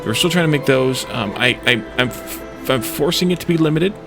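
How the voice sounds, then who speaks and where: A man with a creaky, gravelly voice at a middling pitch narrates his own gameplay. He thinks out loud in short, halting phrases, muttering to himself as he decides each step. Music is clearly audible behind him. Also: animated. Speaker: let's play host. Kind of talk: gaming commentary, thinking aloud while playing.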